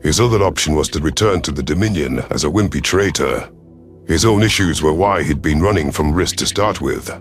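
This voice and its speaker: Very deep-voiced man narrating science fiction. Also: fairly quick. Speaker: audiobook narrator. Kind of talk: scripted dramatic story narration. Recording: music behind the voice.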